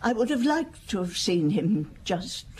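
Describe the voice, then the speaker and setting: An actress playing an elderly widowed princess in a radio drama, genteel, measured, frank and wistful. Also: female voice, medium pitch, very animated, a little mellow. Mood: composed grief. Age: older. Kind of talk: classic radio drama acting. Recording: noisy old radio broadcast.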